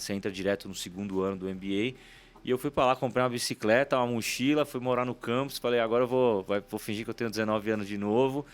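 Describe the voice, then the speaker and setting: A Paulistano man in his forties talks quickly and easily as an interview guest. His voice sits on the low side, and he keeps the talk moving with little tag questions. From São Paulo, Brazil. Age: 40s. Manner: quick, chatty, leans on "né" tags. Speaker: guest, startup CEO. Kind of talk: casual interview storytelling.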